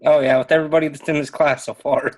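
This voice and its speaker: A man's voice: fairly high pitch, little pitch movement, fast talker, darkish tone. A man from Utah with a Southern accent chatting casually and bluntly on an online class call. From Utah, USA. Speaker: student. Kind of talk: casual chat.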